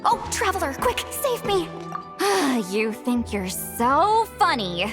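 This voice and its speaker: A woman's voice: high-pitched, sing-song, takes it slowly, music in the background, exaggerated voice. A woman voicing a prim, easily flustered noble lady in a video game, who sighs and protests fussily. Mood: exasperated. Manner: exasperated sighs, fussy and defensive. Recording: game score playing behind.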